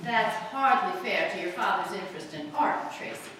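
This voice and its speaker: A woman's voice: medium pitch, animated, normal speed, fairly bright tone, natural voice. Woman playing a genteel mother, echoey hall. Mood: chiding. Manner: proper, mild, reproving. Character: genteel, gently scolding society mother. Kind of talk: old-time radio drama acting. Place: live stage performance. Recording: echoey hall, distant mic, faint noise.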